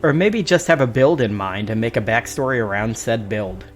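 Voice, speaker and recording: A man with a medium-pitched voice hosts a casual podcast discussion. He speaks to listeners as the one running the show. Music plays behind him. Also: lively intonation, moderate pace. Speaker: podcast host.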